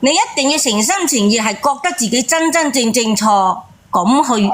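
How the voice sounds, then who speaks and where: A woman's voice: on the high side, animated, moderate pace. A woman co-hosting a call-in show, giving unscripted advice in an insistent, scolding way and repeating her points. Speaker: co-host of a relationship call-in show.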